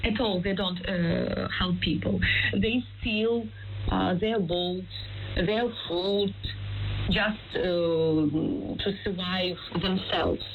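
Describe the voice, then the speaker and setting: A Ukrainian-accented woman answers interview questions slowly, in halting phrases. Her pitch is average. The recording is a dulled shortwave radio signal with faint static. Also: fairly even intonation, phone-quality sound. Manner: halting, hesitant non-native phrasing. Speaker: news interviewee, local resident's relative. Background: static and hiss.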